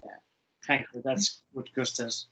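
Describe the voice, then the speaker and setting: A young man with a Finnish accent who speaks haltingly in simple phrases during an informal webinar. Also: mid-range voice, steady tone, fairly bright tone, slight background noise, rough recording. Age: young adult. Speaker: webinar panelist.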